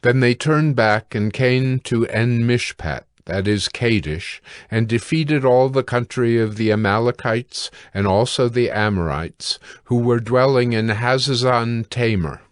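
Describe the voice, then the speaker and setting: A man narrating scripture from a script in a measured, deliberate way. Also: medium pitch, clear, resonant tone, no echo, clean studio sound.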